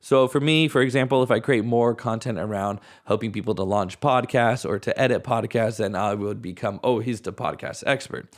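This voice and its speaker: A man with a raspy voice, hoarse from a cold, hosting a podcast at home in a casual, rambling way. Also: fairly low pitch, normal speed, hardly any pauses. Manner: casual, rambling, thinks aloud with restarts. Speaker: podcast host. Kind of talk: solo podcast talk.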